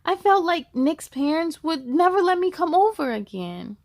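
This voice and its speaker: High-pitched woman reading for children. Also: normal speed, dry recording, clean studio sound. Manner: cheerful, encouraging, expressive. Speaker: host of a children's read-aloud show. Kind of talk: animated children's storytime reading.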